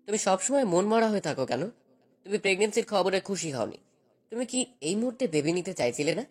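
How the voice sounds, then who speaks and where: পুরুষকণ্ঠে অভিনীত ওঠানামা করা স্বরের কর্তৃত্বপরায়ণ তরুণ স্বামী. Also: male voice, sits high, sing-song, a little fast. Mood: earnest probing. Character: possessive, domineering young husband, a jealous university student. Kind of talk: dramatized romantic story dialogue.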